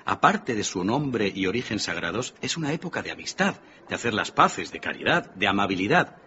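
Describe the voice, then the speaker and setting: Bright, animated, cheerful nephew. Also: male voice, medium pitch. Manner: exclamatory, earnest, eloquent. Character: cheerful, warm-hearted nephew, earnest and idealistic. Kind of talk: radio drama acting. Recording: dull lo-fi radio recording, faint noise.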